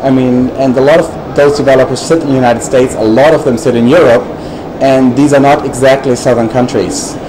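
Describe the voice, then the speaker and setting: Male panelist, measured reply, many fillers, clear background hiss. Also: medium pitch, steady tone. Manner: measured, frequent "you know" and "um" fillers. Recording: noisy recording.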